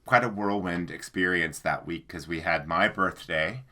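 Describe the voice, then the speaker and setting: Deep-voiced Midwestern man, bright tone. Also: moderate pace. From American Midwest. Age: 30s. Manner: relaxed, rambling with fillers and "like". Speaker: co-host, book channel. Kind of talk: casual chat.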